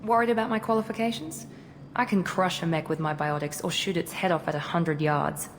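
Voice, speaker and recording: A woman's voice: on the low side, steady tone, fairly quick, lightly acted. An actress playing a proud, guarded female operative in a video game, clipped, formal and assertive. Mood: businesslike, aloof. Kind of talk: video game dialogue acting. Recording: noisy game audio with ambience.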